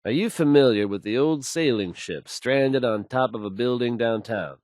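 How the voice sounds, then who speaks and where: An actor playing a confident, smooth-talking radio DJ in a game, delivering fluent and assured announcements. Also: male voice, medium pitch, normal speed, a little mellow, dry recording, studio quality, lightly acted.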